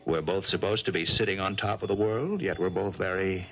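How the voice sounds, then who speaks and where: A millionaire gambler coaxes in a low, gravelly voice, stumbling over his words as he pleads. It sounds like a dull, lo-fi 1970s radio drama broadcast. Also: faint music behind. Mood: coaxing. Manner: coaxing, hesitant, halting restarts. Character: smooth-talking male millionaire gambler.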